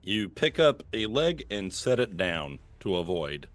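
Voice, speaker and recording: A man running a tabletop game as game master, narrating with relaxed chuckles. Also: on the low side, measured pace, no echo.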